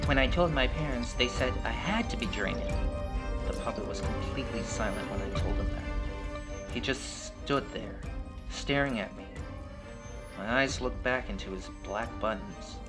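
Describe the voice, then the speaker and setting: A man's voice: mid-range voice, moderate pace. A man reading a scripted first-person horror story as a storyteller. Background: background music.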